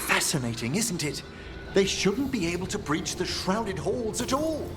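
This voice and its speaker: Gracious old scholar of the dead for a fantasy game: theatrical, polite, curious, highly melodic delivery. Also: male voice, big pitch swings, normal speed, noisy background. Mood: intrigued concern. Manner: genteel, theatrical, polite phrasing. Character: courtly elderly male necromancer professor, gracious and curious. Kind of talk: video game voice acting.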